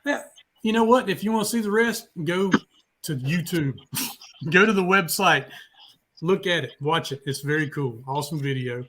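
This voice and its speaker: Laid-back man hosting, slightly high voice. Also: expressive, normal speed, pushed and bright. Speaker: host of a live music talk show. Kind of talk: casual unscripted interview chat. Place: remote live stream call.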